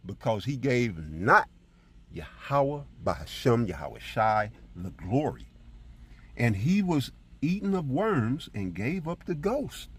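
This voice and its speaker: A man with a husky voice teaching scripture as a preacher, in an emphatic, colloquial style full of rhetorical check-ins. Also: medium pitch. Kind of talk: fiery informal Bible teaching with commentary on scripture readings.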